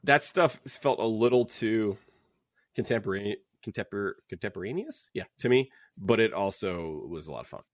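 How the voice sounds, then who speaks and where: A man co-hosting a casual book club podcast, talking in an animated, rambling, joking way. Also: fairly low pitch, moderate pace, dry recording, studio quality. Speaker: podcast co-host.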